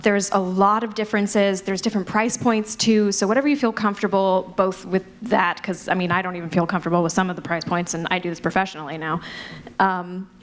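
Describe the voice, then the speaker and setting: Female panelist, medium pitch, casual unscripted delivery, practical producer giving advice. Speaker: audio drama producer and panelist. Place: live convention panel. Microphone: wired panel microphone.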